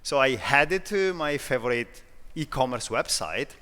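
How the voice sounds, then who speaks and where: Lively Italian-accented man in a hall. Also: mid-range voice, measured pace. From Italy. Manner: self-deprecating, lively, with frequent restarts. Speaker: conference speaker. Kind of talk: conference talk. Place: large conference hall.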